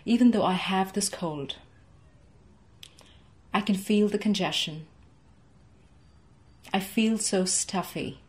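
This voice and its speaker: A young woman coaching a guided tapping session from her home office, speaking in slow, soothing, rhythmic repeated phrases for the listener to echo. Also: medium pitch, darkish tone. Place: home office with framed certificates, indoors.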